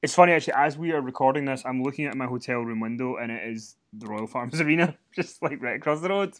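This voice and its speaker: A man's voice: medium pitch, quick pace, fairly bright tone. A man co-hosting a wrestling podcast from a hotel room, chatting casually and rambling with many fillers and restarts. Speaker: podcast co-host. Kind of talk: casual wrestling recap chat.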